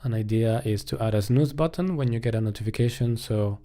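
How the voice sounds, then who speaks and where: A man's voice: mid-range voice, brisk, warm tone. A man giving casual live-coding commentary, thinking aloud in a hesitant way as he works.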